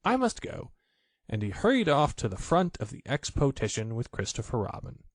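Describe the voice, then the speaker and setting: A man narrating a children's storybook in an expressive, measured read-aloud style. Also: mid-range voice, dry recording.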